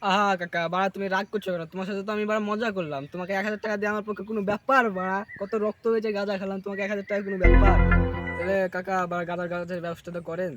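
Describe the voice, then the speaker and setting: Fast, high-voiced cheeky young stoner played by a man. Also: little pitch movement, fairly bright tone, faint music behind. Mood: pleading, boastful. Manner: slangy, swearing. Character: scheming young male stoner, broke and cheeky. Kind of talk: comic cartoon dialogue. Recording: clearly noisy track with clicks and birdsong.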